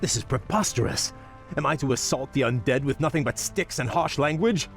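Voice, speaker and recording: An actor playing a proud, resentful elven prince in a video game cutscene, with a refined, dry, sardonic delivery. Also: male voice, medium pitch, lively intonation, brisk, vocal fry, faint music behind. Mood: bitter. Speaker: prince and field commander.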